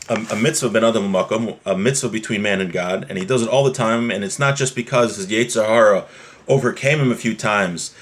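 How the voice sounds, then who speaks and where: A man's voice: fairly low pitch, fairly bright tone. A man giving an informal religious lesson, reading from a text and explaining it conversationally with everyday examples and check-in questions. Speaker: religious teacher.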